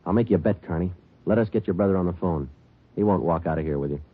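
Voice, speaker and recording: An actor playing a terse, deadpan police detective in a 1940s radio drama, clipped and businesslike. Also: male voice, sits low, quick pace, breathy edge. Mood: firm. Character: terse, businesslike male police detective who also narrates. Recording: tinny old radio recording.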